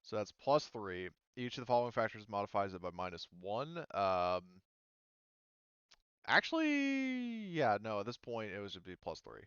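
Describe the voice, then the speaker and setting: Low, clear male game master, roomy sound. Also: big pitch swings, a bit bright. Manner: halting, thinking aloud. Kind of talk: running a tabletop roleplaying game. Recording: roomy, slightly muffled microphone.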